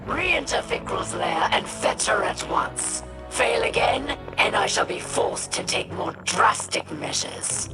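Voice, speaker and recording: Scornful alien warlord, slow delivery. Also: female voice, medium pitch, big pitch swings. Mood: threatening, mocking. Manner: haughty, biting, sarcastic. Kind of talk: video game cutscene acting. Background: cinematic music.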